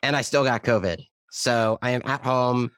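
A man's voice: medium pitch, moderate pace, fairly bright tone, no echo. A man co-hosting a talk podcast, speaking with a mildly scratchy throat in a sardonic, casual way. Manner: sardonic, repeats words when starting. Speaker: podcast co-host, reporter.

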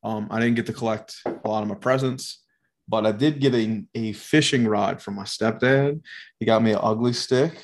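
A man with a nasal voice co-hosts a podcast in a loose, rambling way, swearing and laughing as he goes. His voice sits slightly low in pitch. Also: normal speed. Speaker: podcast co-host. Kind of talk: casual podcast chat.

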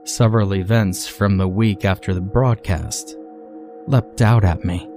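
A man with a low-pitched, dark and mellow voice narrates a creepypasta tale in the first person. His delivery is measured and deliberate, with a storyteller's sense of drama. Music plays softly behind him. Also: steady tone.

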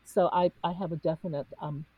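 A woman whose first language is Cantonese, speaking in an earnest, searching way in a reflective faith discussion, pausing often with fillers. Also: low-pitched, steady tone, breathy edge, narrow, tinny audio. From United States. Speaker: discussion panelist.

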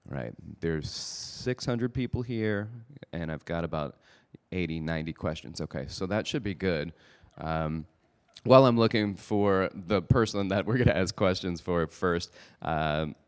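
A man's voice: deep voice, a little slow, clean tone. A man hosting a podcast live at a conference, speaking informally and jokingly to the people in front of him. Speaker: podcast host. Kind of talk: live presenting to an audience. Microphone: microphone.

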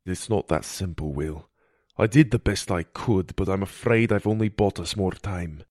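A man voicing an earnest male mentor and ship healer in an adventure audio story, speaking gravely and emphatically. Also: low-pitched, moderate pace, darkish tone, no echo, studio quality, lightly acted. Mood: grave, apologetic.

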